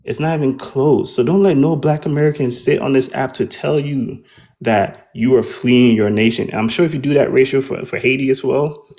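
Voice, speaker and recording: A man's voice: mid-range voice, darkish tone. A man on a debate panel, insistently laying out percentages to make his point. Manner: rattles off numbers, pointed and insistent. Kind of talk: argumentative debate.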